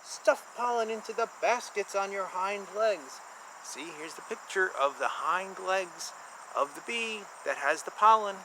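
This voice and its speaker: A man reading a children's picture book aloud and explaining it informally in simple terms, with an animated manner. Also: high voice, unhurried, noisy background.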